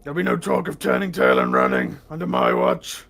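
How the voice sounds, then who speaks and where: A man voicing a stern, pompous lord in a fantasy tabletop game, declaiming commands with a gravelly, rough voice. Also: very deep voice, huge pitch swings, brisk, fairly bright tone, soft background music, heavily acted. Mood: commanding.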